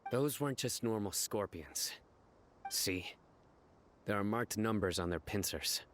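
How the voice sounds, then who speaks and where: Video game investigator: young, vigilant, stern, low-pitched, deliberate delivery, faint background noise. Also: male voice, lively intonation. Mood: serious, suspicious. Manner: serious, measured, deliberate.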